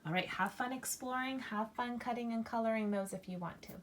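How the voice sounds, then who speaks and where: A woman in her thirties teaching a children's math lesson, counting aloud slowly and breaking into mock-alarmed squeals. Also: fairly high pitch, slight background noise. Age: 30s. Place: indoors at a table, hands-only video.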